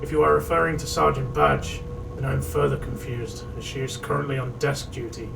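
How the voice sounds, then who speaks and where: Actor as a very gravelly, weary police marshal. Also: male voice, medium pitch, level tone, brisk. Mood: curt, impatient. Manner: curt, dry, formal. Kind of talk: noir audio drama. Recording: noisy, slightly distant mic.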